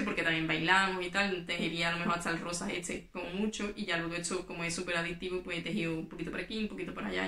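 A woman's voice: fairly low pitch, bright, forceful tone, echoey room. A young woman from Spain chatting casually to camera as a knitting vlogger, explaining as she handles her work. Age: young adult. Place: small room in front of yarn shelves. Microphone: camera in front, at table distance.

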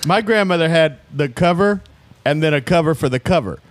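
A man's voice: a little high, expressive, brisk, no room sound. A man co-hosting a comedy podcast, telling a rambling, profane childhood story from Texas. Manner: storytelling, profane, self-interrupting. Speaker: podcast co-host. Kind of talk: casual comedic chat.

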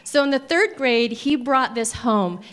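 A woman's voice: high voice, lively intonation, unhurried, fairly bright tone, clean tone. A woman in her thirties giving a conversational, enthusiastic keynote about her career, speaking at a lectern microphone in a large ballroom. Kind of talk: personal career talk. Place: large hotel ballroom stage.

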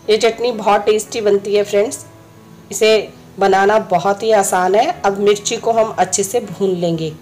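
Slightly hoarse woman, mid-pitched, home cooking host, patient step-by-step delivery, faint music under. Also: steady tone, normal speed, a little mellow. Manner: instructive, friendly, repeats steps. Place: home kitchen.

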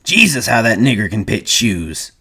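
A man doing a loud, blunt burly ranch hand. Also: fairly low pitch, very animated, moderate pace, breathy edge, full character voice. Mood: gruff.

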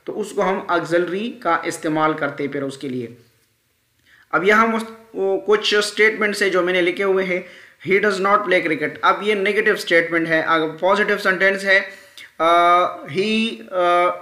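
High, bright-voiced male teacher in echoey room. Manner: repeats key terms, checks in often. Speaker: English grammar teacher. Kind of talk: explanatory screen-recorded lesson.

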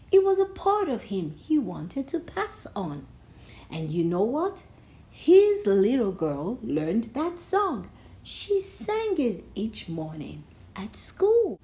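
Lady reading a kids book out loud, real slow n soft-toned, lots of up and down expression. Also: on the high side. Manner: measured, dramatic emphasis on key phrases. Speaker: storybook reader. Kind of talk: expressive children's read-aloud narration.

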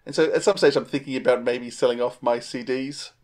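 A man's voice: mid-range voice, steady tone, brisk, darkish tone. Middle-aged Australian man chatting casually. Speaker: podcast co-host. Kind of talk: casual chat. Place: indoors on a video call.

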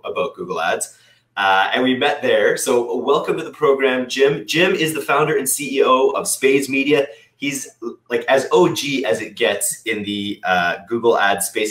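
A man's voice: mid-range voice, a bit bright. A man in his thirties with an Ontario Canadian accent, hosting an interview in a chatty, upbeat way with stumbling restarts. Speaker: podcast host. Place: small room, indoors. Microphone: studio mic on video call. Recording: echoey room.

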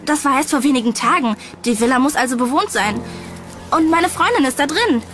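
A woman's voice: high voice, animated, brisk, fairly bright tone, soft background music. A woman playing a worried, insistent young woman in a horror audio drama, earnest and anxious. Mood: puzzled, insistent. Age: young adult.

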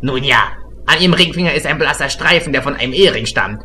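A man playing an excitable, childish, smug private detective in an amateur comedy audio drama, gushing and theatrical. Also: medium pitch, fast, a little hiss or hum. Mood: smug, polite.

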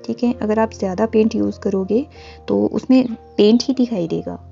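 A woman giving a calm, instructive voice-over for a step-by-step painting tutorial, pausing often with fillers. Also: mid-range voice, vocal fry, highs cut off, faint music behind. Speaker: art teacher. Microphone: voice-over, camera on hands and canvas.

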